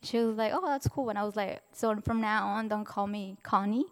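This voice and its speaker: A young woman from Mexico, a Spanish native speaker, giving an earnest, heartfelt personal testimony as a student speaker, halting now and then to restart. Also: mid-range voice. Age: young adult. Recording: distant voice in a reverberant hall.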